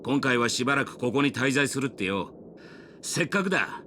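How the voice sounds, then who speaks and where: An actor playing a gruff, easygoing fishing lover in a video game, with rough, folksy, teasing speech. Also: male voice, mid-range voice, expressive, very fast, pushed and bright, slight background noise. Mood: breezy, friendly. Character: gruff, easygoing man who loves fishing. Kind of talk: Japanese video game voice acting.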